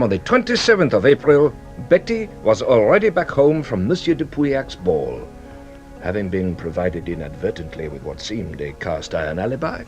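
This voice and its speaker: An actor playing a shrewd, courteous police detective in a radio drama, speaking with a theatrical, deliberate manner. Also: male voice, mid-range voice, close to an everyday voice. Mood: triumphant explaining. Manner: theatrical, deliberate explaining, savouring each revelation.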